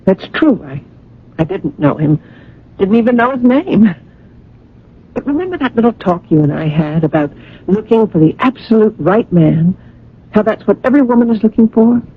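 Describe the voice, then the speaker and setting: An actress playing a coy, hopeful woman in a radio drama, confiding with hesitant, arch pauses. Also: mid-range voice, expressive, husky. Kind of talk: 1980 radio drama acting. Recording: dull, hissy vintage radio recording.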